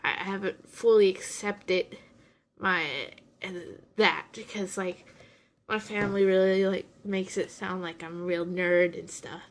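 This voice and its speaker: A woman's voice: medium pitch, flat delivery, measured pace, slight background noise. Young woman with a runny nose, rambling. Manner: rambling, chatty, many restarts. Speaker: podcast host reviewing anime. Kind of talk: casual chat.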